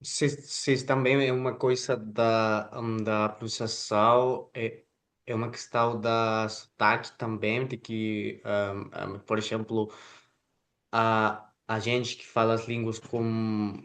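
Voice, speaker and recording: A man whose first language is Spanish hosts an interview in Portuguese, speaking haltingly with many filler sounds and restarts. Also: on the low side, little pitch movement, a bit bright. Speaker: interview host.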